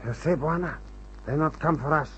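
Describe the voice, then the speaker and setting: An actor playing a calm, watchful African tracker in an old radio adventure serial, speaking terse broken English. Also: male voice, fairly high pitch, normal speed, lightly acted. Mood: calm, cautious. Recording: noisy, dull old radio recording.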